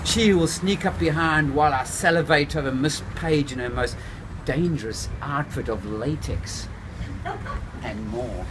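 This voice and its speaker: A man reading his own novel aloud in the first person, unhurried, lingering on phrases and letting the pace drift between slow and quick. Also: fairly high pitch. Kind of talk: first-person literary narration. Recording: steady background noise with passing traffic.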